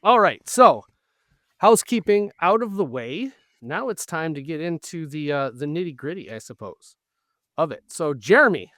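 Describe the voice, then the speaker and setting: A man hosting a casual interview, speaking haltingly as he searches for words. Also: on the high side, sing-song, a bit bright, no echo, clean studio sound. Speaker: podcast host, interviewer.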